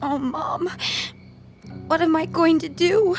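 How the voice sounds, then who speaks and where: Anxious teenage-style daughter, high and hesitant, tender, distressed, radio drama. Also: female voice, fairly even intonation, slow, soft background music, exaggerated voice. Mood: sorrowful. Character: anxious, tender daughter torn over her boyfriend.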